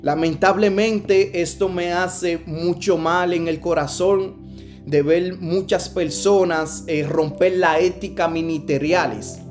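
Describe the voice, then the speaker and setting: A man's voice: high voice, fairly even intonation, takes it slowly, faint music behind. A man from the Dominican Republic with a Dominican accent, preaching a sermon over a video call with earnest repetition and many hesitant fillers. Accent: Dominican Spanish. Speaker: evangelist preacher.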